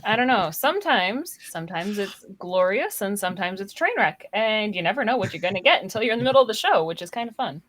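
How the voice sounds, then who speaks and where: A woman's voice: medium pitch, animated, quick pace, hardly any pauses, a bit bright. A woman co-hosting a podcast, chatting casually in a playful, teasing manner. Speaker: podcast co-host. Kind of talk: casual chat.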